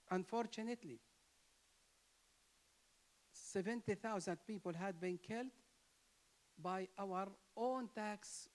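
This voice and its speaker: A man from Palestine, a native Arabic speaker with a Palestinian Arabic accent, giving an impassioned, emphatic speech at an interfaith gathering. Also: high-pitched. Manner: emphatic, repetitive, rising to stress key words.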